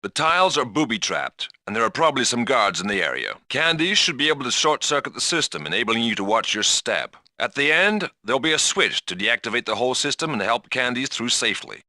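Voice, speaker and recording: A man's voice: medium pitch, very animated, fairly quick, few pauses, pushed and bright, dry recording, clean studio sound. A man playing an authoritative spy mission controller who gives urgent, instructive briefings in a video game.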